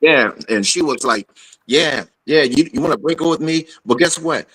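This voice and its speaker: A man telling a personal story casually, halting and repeating himself as he goes. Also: fairly high pitch, fairly bright tone, breathy edge, no room sound.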